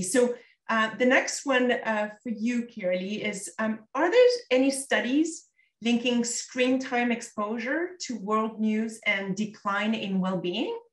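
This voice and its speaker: A woman moderating a webinar in an upbeat, hurried way over a video call. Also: on the high side. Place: home room. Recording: roomy distant video call sound.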